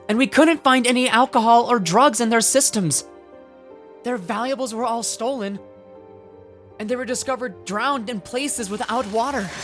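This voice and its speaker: A woman voicing an earnest, excitable young male police detective in an anime dub, naive and eager, with exclamatory delivery. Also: sits high.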